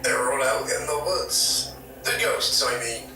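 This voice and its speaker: Slow, scoffing local man played by an actor. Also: medium pitch, close to an everyday voice. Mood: dismissive, amused. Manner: casual, scoffing. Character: blunt, casual local man scoffing at ghosts. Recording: very echoey, distant, music behind.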